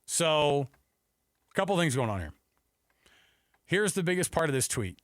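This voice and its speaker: Emphatic higher-voiced male sports radio host. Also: very animated, unhurried, dry recording. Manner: emphatic, repeats points for emphasis. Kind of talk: opinionated sports talk radio. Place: radio studio.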